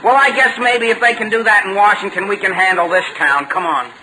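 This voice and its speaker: A man's voice: high voice, fairly quick, lightly acted. An actor playing a hesitant, gullible teenage boy in a 1940s radio comedy, stammering and querulous. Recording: noisy vintage 1940s radio recording.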